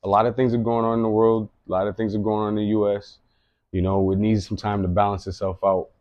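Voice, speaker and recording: A man's voice: on the low side, level tone, fast talker, no room sound, muffled recording. A man in his late thirties giving an earnest, rambling personal monologue, often repeating himself. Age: late 30s. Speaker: vlogger.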